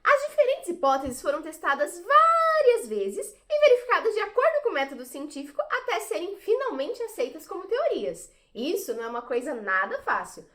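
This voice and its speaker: A woman plays an earnest science explainer in an educational audio drama. Her high voice is extremely animated, with didactic, theatrical emphasis. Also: a little fast, hardly any pauses, full character voice.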